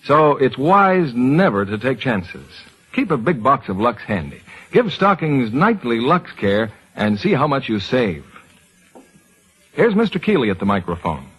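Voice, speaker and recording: Animated American radio announcer man. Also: medium pitch, a little slow, slightly rough, rough recording. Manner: polished sales patter. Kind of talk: 1940s scripted radio commercial.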